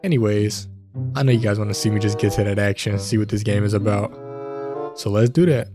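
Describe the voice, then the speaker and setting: A man with a stuffy nose from a cold, hosting casual gameplay commentary, chatty and prone to excited outbursts. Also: lowish voice, brisk, music in the background. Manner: chatty, addresses viewers as fellas, excited outbursts. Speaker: gaming channel host. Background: faint game gunfire.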